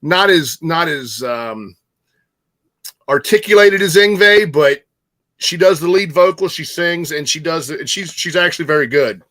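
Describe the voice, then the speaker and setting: Bright, forceful man with a higher voice. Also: expressive. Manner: halting, emphatic, informal with swearing. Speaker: show co-host. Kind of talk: casual chat.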